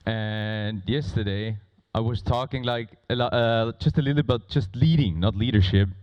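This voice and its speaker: A man who is not a native English speaker moderating a conference on stage, talking informally and playfully with a loud, projecting voice. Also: fairly low pitch, moderate pace, highs cut off. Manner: playful, bantering with audience. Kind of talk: informal live stage presentation. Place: conference stage before a large audience.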